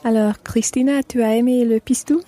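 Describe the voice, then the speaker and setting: Chatty French mum, played by an actress, very soft dark tone, fairly high pitch, conversational lesson drama. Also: female voice, steady tone, moderate pace, close to an everyday voice. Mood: friendly, curious. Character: kind, chatty French wife and mother hosting friends. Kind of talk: scripted educational radio play.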